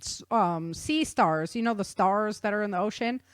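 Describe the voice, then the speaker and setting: A woman explaining things in an animated, conversational way with casual slang. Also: medium pitch, normal speed, no room sound, studio quality. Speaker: guest author explaining research.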